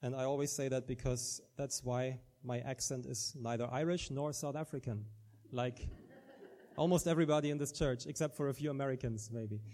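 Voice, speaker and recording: A man's voice: medium pitch, fairly even intonation, normal speed, mellow. A man from Germany with a German accent, preaching an earnest sermon and often restarting his words.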